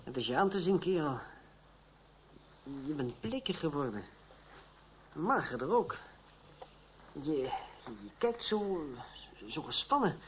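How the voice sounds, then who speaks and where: Higher-voiced, sardonic young French swordsman. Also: very animated, slow, long pauses. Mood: teasing. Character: sardonic young French fencing master, cool and proud. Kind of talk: radio play acting. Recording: dull, noisy old radio play recording.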